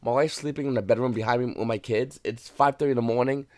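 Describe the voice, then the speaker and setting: Hushed, opinionated man in dry room. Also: steady tone, fast talker, dry recording. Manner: opinionated, swears casually; keeps voice lowered. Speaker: card market commentator. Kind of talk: casual price commentary. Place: home, early morning.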